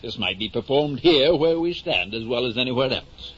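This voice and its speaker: An actor playing a bluffing, bossy innkeeper in an old radio drama, blustering and putting on a hesitant mock solemnity. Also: male voice, medium pitch, lively intonation, normal speed, fairly bright tone, slightly rough. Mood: placating. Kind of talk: 1950 old-time radio comedy-drama acting. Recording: dull, lightly noisy old radio recording.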